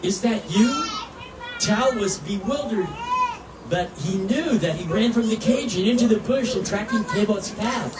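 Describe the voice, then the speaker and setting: A man reading a children's story aloud at a live event in an expressive, earnest way. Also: high-pitched, normal speed. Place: outdoor public event at animal habitat. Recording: roomy, distant mic, noisy outdoor ambience.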